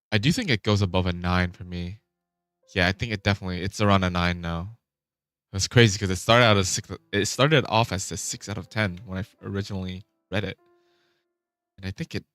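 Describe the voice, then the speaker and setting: A man's voice: deep voice, a bit bright, no room sound. A man chatting casually on a vlog, rambling and restarting his sentences, swearing now and then. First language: Vietnamese. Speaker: vlogger.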